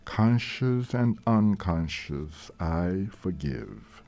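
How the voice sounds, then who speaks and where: Male meditation leader, extremely unhurried, with rising and falling intonation, gentle and deliberate over background music. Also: low-pitched, expressive, creaky. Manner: gentle, deliberate, measured phrasing with long pauses. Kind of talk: slow guided meditation narration. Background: soft meditation music.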